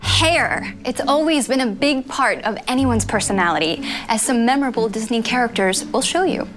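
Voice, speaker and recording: An upbeat woman host runs a trivia segment, her voice sitting a little high. Music plays under her throughout. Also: expressive, moderate pace, a bit bright. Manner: upbeat, quizzing. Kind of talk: scripted presenting with trivia.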